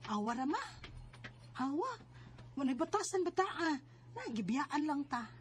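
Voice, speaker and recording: A woman's voice: high-pitched, very animated, measured pace. A woman with a Cebuano Filipino accent playing a protective, scolding married older sister in a radio drama. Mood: scolding, defensive. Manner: nagging, emphatic. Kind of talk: Bisaya radio drama acting.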